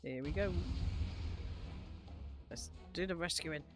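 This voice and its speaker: A woman's voice: low voice, slow pace. A woman giving casual, chatty playthrough commentary, talking to herself and repeating phrases as she plays. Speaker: gaming streamer. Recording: music behind the voice.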